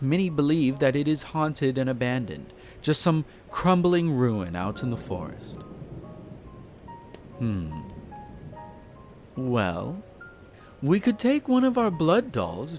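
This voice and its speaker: A man playing a possessive, flirtatious vampire master in a roleplay audio drama, speaking formally and condescendingly with theatrical pauses. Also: a little high, sing-song. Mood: coldly condescending. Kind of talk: scripted roleplay audio drama, addressing the listener. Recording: music behind the voice. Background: background music.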